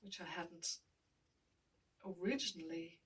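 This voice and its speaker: A woman's voice: fairly low pitch, takes it slowly, a bit bright, distant mic. A woman art tutor talking through her painting as she works, thinking aloud, often mumbling and trailing off.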